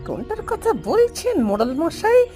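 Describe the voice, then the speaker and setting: Sex: woman. Figure: sly male henchman, very high. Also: very animated, normal speed. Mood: scheming. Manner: sly, sneering. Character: scheming male village henchman. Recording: soft background music.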